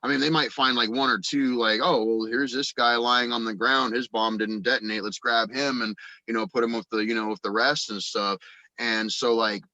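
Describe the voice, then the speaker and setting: A man chatting casually as a podcast guest, rambling excitedly as he tosses around creative ideas. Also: mid-range voice, moderate pace, no echo, highs cut off. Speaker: podcast guest, audio drama writer and actor. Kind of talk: casual chat, brainstorming story ideas.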